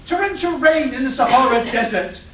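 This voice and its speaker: A man's voice: very high-pitched, animated, brisk, a bit bright, a little hiss or hum. A man playing the dramatic, mock-serious narrator of a comic superhero radio play performed live. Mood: dramatic announcing. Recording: echoey, distant live stage capture.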